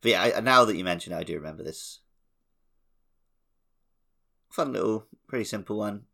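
A man's voice: fairly low pitch, fast, gravelly, bright, forceful tone. A man co-hosting a podcast, casually discussing a video game. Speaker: podcast co-host.

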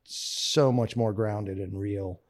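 A man's voice: lowish voice, fairly even intonation, takes it slowly. A man being interviewed as a guest, talking eagerly and chattily, often restarting his sentences and laughing between thoughts. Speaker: guest actor. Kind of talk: casual conversational interview.

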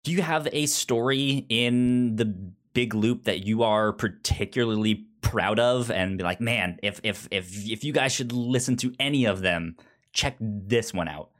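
A man with a fairly low voice hosts a casual interview. He puts his questions hesitantly, repeating words as he works toward the point. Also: measured pace, fairly bright tone. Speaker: podcast host.

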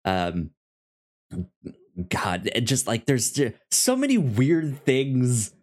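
A man's voice: medium pitch, huge pitch swings, slow pace, darkish tone. A man co-hosting a podcast, casually recapping a show and often rephrasing himself as he explains. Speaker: podcast co-host.

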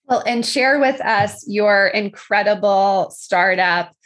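A woman's voice: medium pitch, slow pace, fairly bright tone. A woman hosting a podcast interview, reading an upbeat introduction and then asking friendly questions. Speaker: podcast host.

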